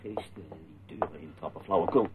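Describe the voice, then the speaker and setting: An actor playing a sceptical, take-charge husband in a radio play, curt and matter-of-fact, on a tinny old recording. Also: male voice, deep voice, quick pace, background noise, natural voice. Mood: wary, sceptical.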